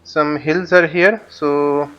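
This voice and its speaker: Higher-pitched man, muffled mic. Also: fairly even intonation, a bit bright, smooth. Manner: halting, explanatory, thinking aloud. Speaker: flight simulator instructor. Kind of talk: informal tutorial commentary while flying a simulator.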